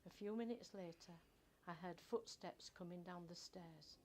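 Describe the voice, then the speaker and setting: An older woman reads a first-person story from a script in a measured, expressive storytelling manner. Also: fairly low pitch, slight background noise. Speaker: author reading own short story.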